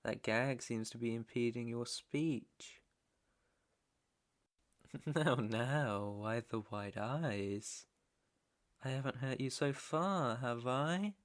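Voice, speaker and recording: A British man playing a menacing, teasing mob boss's son in an intimate ASMR roleplay, with a deliberate, taunting delivery. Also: mid-range voice, natural voice. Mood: smug, teasing. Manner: slow, deliberate, taunting, swearing. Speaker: roleplay audio performer.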